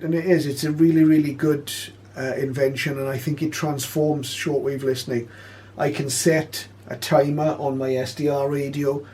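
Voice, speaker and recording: A man presenting an informal spoken tutorial, explaining step by step with hesitant pauses. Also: medium pitch, darkish tone, slight background noise. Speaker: presenter of a shortwave radio tutorial.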